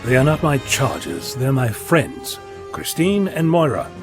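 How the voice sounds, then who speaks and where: An actor playing a chatty, wry, inquisitive time-travelling adventurer in an audio drama, talkative and flippant. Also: male voice, medium pitch, huge pitch swings, a little slow, gravelly, over music, heavily acted. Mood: calm, resigned.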